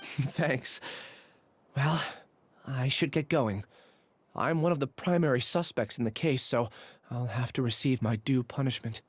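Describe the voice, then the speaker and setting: A man voicing a young male scholar in a game, talking softly and reflectively, confessing with quiet resolve. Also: mid-range voice, a little slow, a little hiss or hum, close to an everyday voice.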